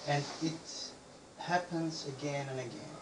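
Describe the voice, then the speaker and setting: A man's voice: on the high side, steady tone, measured pace. A man from Bosnia asking a speaker questions, hesitant, with many restarts and repeated words. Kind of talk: halting question from an audience member. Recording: echoey room, speaker far from the mic, clear background noise.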